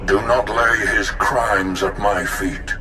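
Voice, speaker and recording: An actor voicing the spirit of an ancient male Sith Lord in a video game, speaking in a slow, grave, commanding way. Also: fairly low pitch, steady tone, noisy background. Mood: ominous. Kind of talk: video game cutscene acting. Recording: echoing spirit voice over game score.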